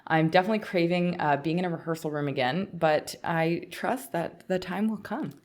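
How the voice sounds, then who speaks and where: A woman with a Canadian accent talks casually as the co-host of a podcast. Her voice sits a little lower than most women's. The delivery is conversational and unscripted. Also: normal speed, a little mellow.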